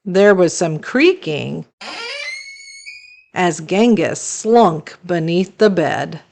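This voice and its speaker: A woman narrating a children's story as a read-aloud host, expressive and deliberate. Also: lowish voice, creaky, slight background noise.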